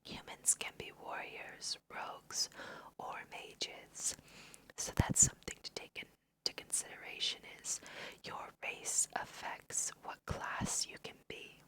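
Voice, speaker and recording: A woman with a slight cold whispering ASMR video game commentary, gently guiding the listener in a breathy, unhurried way. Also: rough recording. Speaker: let's play host guiding through a video game.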